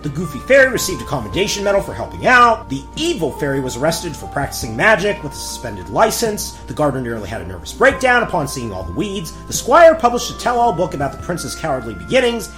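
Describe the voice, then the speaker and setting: Male storybook narrator for a parody: wry, bright, breaking off for asides, faint music underneath. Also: a little high, huge pitch swings, quick pace, few pauses. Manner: wry, self-interrupting asides.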